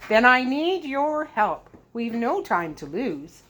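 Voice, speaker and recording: A woman voicing a desperate, forceful father, a determined doctor in a storybook, urgent and demanding. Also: on the high side, very animated, takes it slowly, voice pushed up, heavily acted. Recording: clear background noise.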